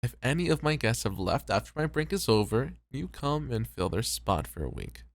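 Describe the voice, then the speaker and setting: A man's voice: mid-range voice, big pitch swings, normal speed. A man playing a weary, self-doubting club host in an ASMR roleplay, with a hesitant, understated delivery. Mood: teasing.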